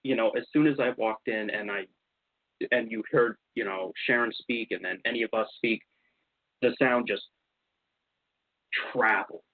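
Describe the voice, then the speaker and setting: A man's voice: on the low side, moderate pace, pauses often, breathy edge, dry recording. A man hosting a radio show, telling stories casually and animatedly, acting out what people said. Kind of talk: casual storytelling about investigations. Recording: slightly dull, lo-fi radio sound.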